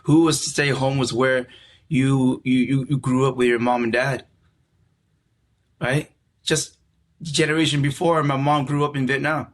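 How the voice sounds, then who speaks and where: A middle-aged man from California, a podcast guest talking in a relaxed, reflective way and often repeating words as he searches for them. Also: medium pitch. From California, USA. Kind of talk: casual conversational interview.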